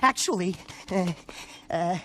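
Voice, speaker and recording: High-pitched, jittery paranoid techie. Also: male voice, slow, bright, forceful tone, slight background noise, full character voice. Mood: anxious. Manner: nervous, stammering, frantic. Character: jittery, paranoid male tech worker. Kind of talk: animated video game dialogue.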